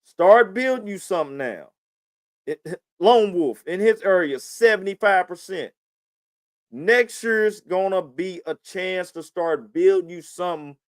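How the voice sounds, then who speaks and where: A man's voice: high voice, expressive, unhurried, dry recording, studio quality. A man hosting a podcast in casual, slangy talk, often addressing the listener as "man". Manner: informal slangy talk, frequent "man" and "you know what I'm saying". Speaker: podcast host.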